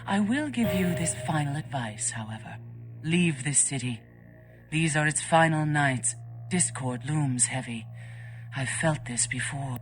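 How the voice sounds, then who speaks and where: A woman's voice: sits low, animated, unhurried, faint music behind. A woman playing an aloof, grave vampire scholar who speaks formally and with foreboding in a video game. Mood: stern, foreboding.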